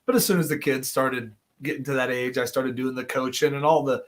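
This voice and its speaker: A man in his thirties chats casually as a podcast guest. His voice sits at an ordinary middle pitch. He rambles through long stories, laughs often and trips over his own restarts. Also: fairly even intonation, brisk. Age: 30s. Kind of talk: casual chat.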